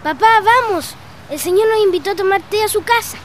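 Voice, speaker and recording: A woman plays an inquisitive, talkative young boy in a family drama. The voice is extremely high, with faint noise behind it. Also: fairly quick. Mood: curious, eager. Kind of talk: scripted educational drama dialogue.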